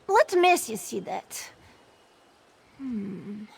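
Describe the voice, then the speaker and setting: Woman as high-voiced, proud cat-folk woman. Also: very animated, creaky, husky, heavily acted. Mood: urgent, focused. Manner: self-assured, brisk, refers to herself in third person. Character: proud, fiery cat-folk woman smuggler-hunter, confident. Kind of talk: video game character dialogue, AI-voiced.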